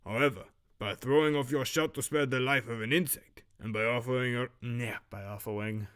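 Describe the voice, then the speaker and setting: A man's voice: medium pitch, steady tone, fairly bright tone, clean tone, natural voice. Man acting a stern archery master, muddled Romanian-like accent. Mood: cold, solemn. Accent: muddled Eastern European, Romanian-like. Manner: measured, severe, formal lecturing. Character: stern master archery instructor, coldly admonishing a student. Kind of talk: fan audio drama character acting.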